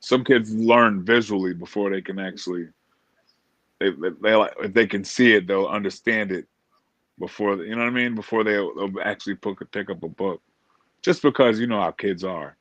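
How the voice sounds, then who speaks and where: An American man chatting casually and earnestly as an interview guest, informal and a little halting. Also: fairly low pitch, a bit bright, no room sound, dull audio. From Springfield, Massachusetts, USA. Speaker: interview guest, motivational speaker.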